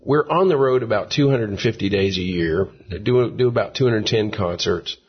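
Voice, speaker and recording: A man's voice: lowish voice, moderate pace, breathy edge. A middle-aged man who sings in a gospel group, chatting in an interview with jokey asides. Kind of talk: casual interview conversation. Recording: slightly dull lo-fi recording.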